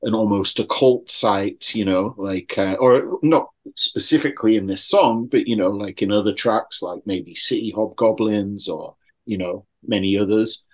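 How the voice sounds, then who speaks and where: A man's voice: lowish voice, normal speed, low-quality recording. A man in a casual podcast discussion, talking tentatively and often saying "like" and "you know". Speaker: podcast panelist. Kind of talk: casual discussion of song lyrics.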